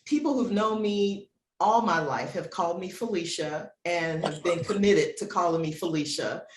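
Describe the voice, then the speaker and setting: A woman's voice: on the low side, normal speed, distant mic. A woman in her fifties hosting a conversational interview, affirming her guests and repeating phrases for emphasis. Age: 50s. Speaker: host interviewing book co-authors. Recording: roomy echo with background noise.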